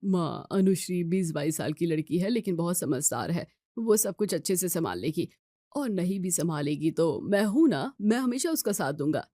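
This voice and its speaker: A woman's voice: fairly low pitch, animated, normal speed, warm tone, no echo, studio quality. A woman voicing a devoted, stubborn young son in an audio story, earnest and persuasive. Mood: insistent.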